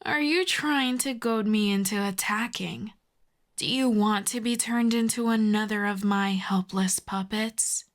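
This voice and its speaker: A woman playing a smug telepathic villain in an ASMR audio roleplay, sardonic and taunting, often breathy and near a whisper. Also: medium pitch, close to an everyday voice. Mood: coolly menacing. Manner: sardonic, deliberate, taunting, drawn-out phrasing. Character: smug telepathic female villain, mocking, menacing, secretly intrigued.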